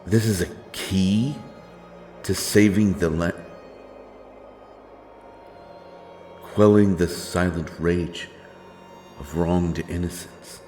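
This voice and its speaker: A grim, righteous holy wanderer from a dark fantasy tale, acted by a man, speaks in a deep voice. The delivery is slow, solemn and archaic, with deliberate dramatic weight. Faint music plays behind the words. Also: fairly even intonation. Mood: somber, troubled. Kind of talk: dark fantasy audio roleplay.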